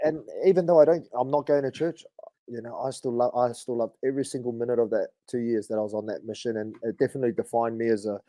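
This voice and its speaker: Kiwi man in his thirties, relaxed storyteller, warm and mellow tone, dry close-miked sound. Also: mid-range voice, fast, no room sound. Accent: New Zealand. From New Zealand. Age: 30s. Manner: laid-back, relaxed storytelling with frequent "bro" and fillers. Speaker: guest, rugby league player. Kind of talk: casual podcast chat.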